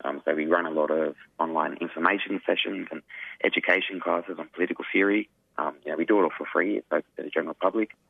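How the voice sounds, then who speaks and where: A man, an activist guest speaking earnestly in a radio interview, his voice croaky. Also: low voice, fairly even intonation, quick pace, no echo. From Australia. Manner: earnest, emphatic, frequent "you know" fillers. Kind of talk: radio interview over phone. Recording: tinny phone line, dry.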